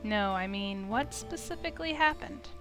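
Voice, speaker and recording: A woman's voice: medium pitch, animated, moderate pace, a bit bright, faint music behind. A woman streamer reading a visual novel aloud, telling the story expressively in the first person. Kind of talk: expressive story read-aloud of game text.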